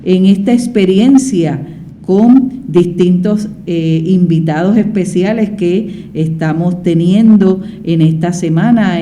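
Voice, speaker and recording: A woman's voice: fairly low pitch, mellow, slight background noise. A woman hosting a radio interview, talking in a conversational way with repetitions and fillers. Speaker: radio host.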